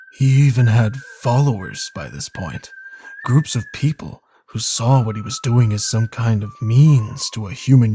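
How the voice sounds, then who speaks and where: A man's voice: lowish voice, warm tone, slight background noise. An actor playing a weary, bitter police detective who tells his story in a brooding, sardonic, dramatic way for a video game cutscene. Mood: grim, resolute. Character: weary, bitter male police detective recounting a serial killer case.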